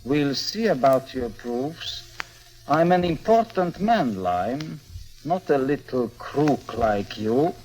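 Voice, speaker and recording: An actor playing a domineering, menacing tycoon with a criminal past in a vintage radio drama, curt and imperious. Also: male voice, medium pitch, animated, slow, a little mellow, soft background music, poor audio. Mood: threatening. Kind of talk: mid-century radio drama acting.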